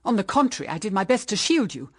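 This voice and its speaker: Stern English mother, very animated. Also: medium pitch, quick pace, husky, no echo, studio quality. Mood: grave recounting. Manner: emphatic, reproving, formal diction. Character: stern, moralising mother of a grown daughter. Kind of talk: period radio drama acting.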